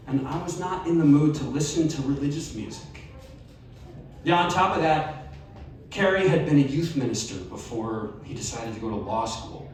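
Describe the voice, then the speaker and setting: A man giving a live reading of a personal story to an audience, earnest and sometimes slowing to a hushed tone. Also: medium pitch, a little hiss or hum. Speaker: story reader. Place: live reading event in a bar. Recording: echoey room, distant mic.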